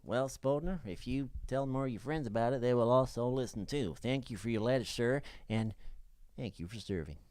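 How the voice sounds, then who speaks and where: A man's voice: mid-range voice, normal speed, darkish tone, no room sound, natural voice. A man putting on a wandering Southern US accent as a folksy, theatrical American reading out a letter reply on a podcast. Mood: cheery sign-off. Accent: put-on Southern US, wandering.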